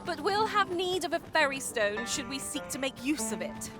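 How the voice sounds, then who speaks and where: A high-voiced game companion. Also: female voice, expressive, moderate pace, music in the background. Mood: dutiful, concerned. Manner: formal, archaic, dutiful phrasing. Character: attentive female adventuring companion. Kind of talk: fantasy video game dialogue.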